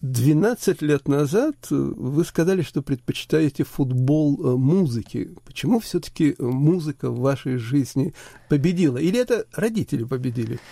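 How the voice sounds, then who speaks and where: A man's voice: on the high side, expressive, normal speed, vocal fry, dark tone, husky, dry recording. A man conducting an interview, asking curious, probing questions. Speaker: radio interviewer.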